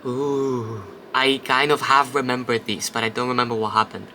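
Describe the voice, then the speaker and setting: A man plays through a story game, reading each line aloud in a bright, forward voice. Between passages he drops into loose chat and the odd curse. A faint noise hums behind him. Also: medium pitch, flat delivery, moderate pace. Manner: reads narration, then chats and swears casually. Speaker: let's play host. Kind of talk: casual game playthrough, reading text aloud.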